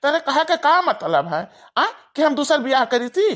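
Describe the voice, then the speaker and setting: A woman's voice: sits high, big pitch swings, moderate pace, a bit bright. A woman voicing a scolding, hot-tempered villager in a cartoon family quarrel, with an Awadhi accent and combative, repetitive delivery. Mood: defiant. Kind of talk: cartoon family drama acting.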